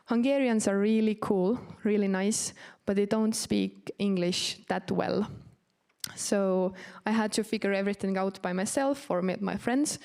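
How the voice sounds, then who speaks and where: Female orientation presenter, native Estonian with Estonian accent, medium pitch, informal and halting delivery. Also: darkish tone, clean tone. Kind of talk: informal presentation to newly arrived students.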